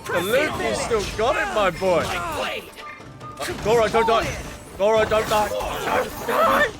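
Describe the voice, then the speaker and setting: A man's voice: sits high, very animated, slow pace. A man doing live game commentary as a streamer, playful and exclamatory, often repeating little catchphrases. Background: loud game music.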